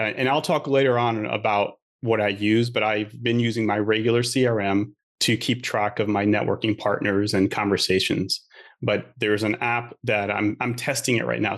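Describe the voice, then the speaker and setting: A middle-aged man from Queens, New York, whose first language is French, speaks as a podcast guest. His voice sits in a middle register. He tells his story in a chatty, confident way and drops in jokes. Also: mid-range voice. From Queens, New York, USA. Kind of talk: casual interview storytelling.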